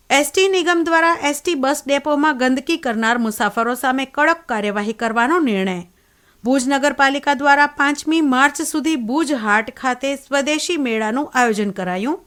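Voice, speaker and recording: High-pitched woman reading radio news. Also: fairly bright tone. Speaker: newsreader. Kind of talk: scripted news reading of headlines.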